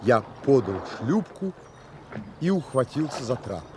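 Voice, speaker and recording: A man's voice: mid-range voice, sing-song, a little slow, noisy background. An actor playing a proud, indignant castaway who narrates his sea adventure in an audio drama, dramatic and literary. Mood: tense narration.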